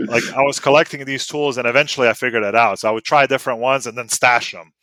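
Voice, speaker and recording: A man's voice: mid-range voice, steady tone, fast, sharp tone, no echo, low-quality recording. A man in his thirties from Bosnia, whose first language is Serbo-Croatian, telling stories as a podcast guest in a loose, rambling way full of "like" and "you know.". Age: 30s. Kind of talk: casual storytelling interview.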